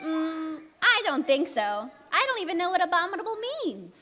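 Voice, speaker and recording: A woman's voice: very high-pitched, very animated, normal speed, noisy background. A woman playing a friendly, curious narrator in a children's puppet show, telling the story expressively and chatting with characters.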